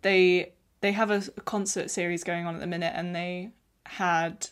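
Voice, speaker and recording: A young woman hosting a podcast interview, chatty and encouraging, reacting with warm enthusiasm. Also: fairly low pitch, flat delivery, moderate pace, smooth. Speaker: podcast host.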